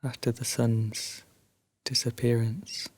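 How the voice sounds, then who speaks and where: A man guiding a sleep meditation as a narrated story, soothing and hushed, leaving long pauses between phrases. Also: mid-range voice, flat delivery, breathy edge, no echo.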